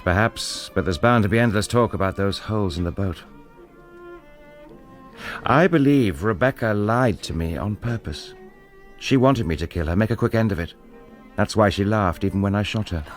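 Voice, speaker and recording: An actor playing a reserved, troubled upper-class English husband in a radio drama. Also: male voice, lowish voice, moderate pace, faint music behind, natural voice. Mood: brooding, uneasy. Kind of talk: British radio drama acting.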